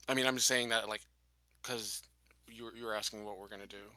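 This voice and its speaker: A man chatting casually as a tabletop game player, halting and hesitant as he speaks. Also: fairly low pitch, fairly even intonation, bright, forceful tone, no room sound.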